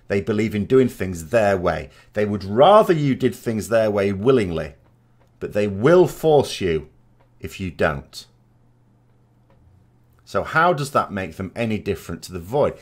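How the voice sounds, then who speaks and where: A man giving unscripted commentary as a gaming vodcast host, explaining emphatically and posing rhetorical questions. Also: fairly low pitch.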